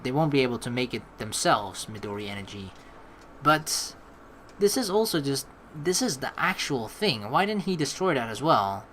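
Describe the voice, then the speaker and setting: A let's player reading game text aloud and giving casual, rambling, halting commentary as they think out loud. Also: medium pitch, very animated, a little hiss or hum.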